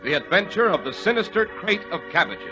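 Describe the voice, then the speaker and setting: A man's voice: high voice, lively intonation, fairly quick, over loud music. An American man hosting a 1940s radio show, speaking in a polished announcer's style with a salesman's delivery. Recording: dull vintage 1940s radio recording.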